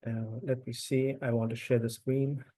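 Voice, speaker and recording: A man's voice: mid-range voice, flat delivery, moderate pace, dark tone. Hesitant man from India giving a webinar talk. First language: Telugu. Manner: hesitant, many fillers and restarts. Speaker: event speaker. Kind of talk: online webinar presentation. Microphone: computer mic on video call.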